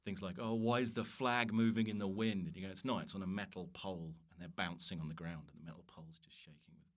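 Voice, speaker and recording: A man chatting casually as a comedian guest on a podcast, telling self-mocking stories in an animated way. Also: sits low, quick pace. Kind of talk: casual comic storytelling chat.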